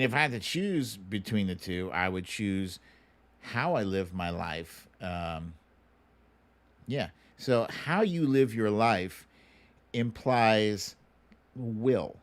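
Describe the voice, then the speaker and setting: A middle-aged American man hosting a livestream, chatting casually and answering viewer questions in an unhurried, musing way with pauses. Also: lowish voice. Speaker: livestream show host.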